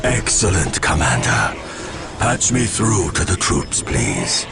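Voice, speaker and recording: An actor playing a calm, regal alien leader, measured, courteous and quietly authoritative. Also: male voice, rumbling low, creaky, full character voice. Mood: calm, commanding. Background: music.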